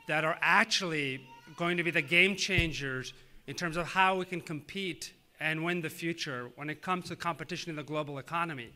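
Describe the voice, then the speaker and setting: A man in his thirties from Tanzania, a native Swahili speaker, giving an earnest, measured public speech with frequent "uh" fillers. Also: a little high, sharp tone. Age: 30s. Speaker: government official giving a speech.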